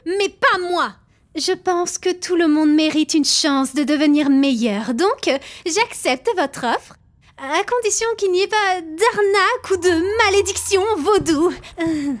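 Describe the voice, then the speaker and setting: A woman's voice: very high voice, lively intonation, unhurried. A woman playing a kind, idealistic young princess of hell in a cartoon fan dub, earnest and hesitant. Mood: nervous, determined.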